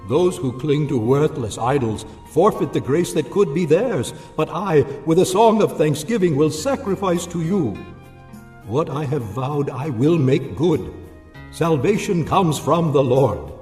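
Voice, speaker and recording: A man's voice: a little high, expressive, measured pace, darkish tone. A man playing an anguished, fervent Hebrew prophet in a dramatized Bible reading, declaiming solemnly. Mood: fervent prayer. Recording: echoey long reverb, faint music behind.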